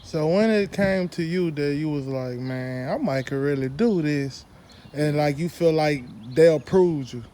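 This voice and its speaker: A man's voice: fairly high pitch, measured pace, warm tone. An American man working as an interviewer, putting questions in an informal, slangy way. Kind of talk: casual interview chat.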